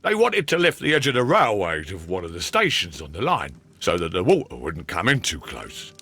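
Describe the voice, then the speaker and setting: Rustic railwayman, cheerful drinker, very animated pitch, gravelly, folksy game character. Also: normal speed, heavily acted. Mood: chatty. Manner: folksy, rambling, colloquial speech. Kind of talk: video game character acting.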